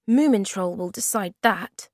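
A woman's voice: mid-range voice, unhurried, dry recording, clean studio sound, voice pushed up, close to an everyday voice. A woman voicing a blunt, bossy little male creature in a storybook.

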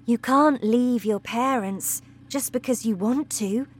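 Woman reading as a gentle teacher, soft, calm, reassuring, slow. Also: fairly high pitch, voice pushed up.

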